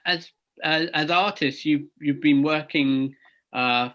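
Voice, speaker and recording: A man interviewing two actors, attentive and quick with short agreements. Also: fairly high pitch, fairly bright tone. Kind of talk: interview questions.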